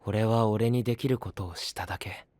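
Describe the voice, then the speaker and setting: A male voice actor playing a quiet, withdrawn young knight, reserved and apologetic, with a breathy voice, in an anime-style voice drama. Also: lowish voice, fairly even intonation, brisk, no echo, lightly acted. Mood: humble.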